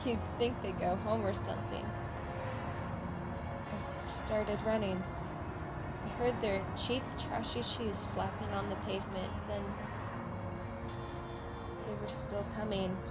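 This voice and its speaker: A woman's voice: medium pitch, steady tone, slow pace, pauses often, dull audio, loud music behind the voice, lightly acted. A teenage female student actor playing a bitter, wistful bullied girl in a one-act play. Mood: haunted, recounting. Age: teens. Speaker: youth theatre student actor. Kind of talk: staged one-act play dialogue and monologue.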